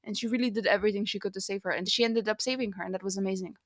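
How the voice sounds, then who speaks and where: A woman's voice: medium pitch, fairly even intonation, racing, no room sound, clean studio sound. A woman co-hosting a podcast, chatting casually and expressively about books. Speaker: podcast co-host. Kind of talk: casual book discussion.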